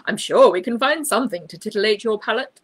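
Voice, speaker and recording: Woman as fawning male restaurant manager, booming, emphatic, unctuous, swooping intonation, children's storybook. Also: animated, fast, husky, no room sound. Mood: effusive. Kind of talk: children's storybook read aloud.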